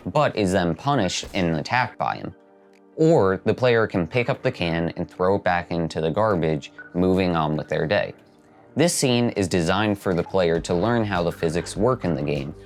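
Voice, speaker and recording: A man hosting a video essay, reading scripted narration. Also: on the low side. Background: background music.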